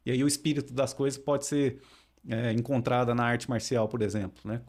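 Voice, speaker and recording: A man with a mid-range voice talks calmly as a podcast guest. He explains philosophy in an unhurried teaching way and often tags his sentences with a small question. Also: medium pitch. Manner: calm explaining, frequent tag questions. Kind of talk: podcast interview.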